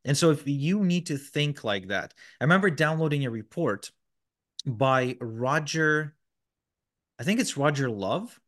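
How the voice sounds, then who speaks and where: A man's voice: on the high side, lively intonation, measured pace, fairly bright tone. A man answering interview questions in a casual, explanatory way, with frequent fillers and restarts. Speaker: podcast guest.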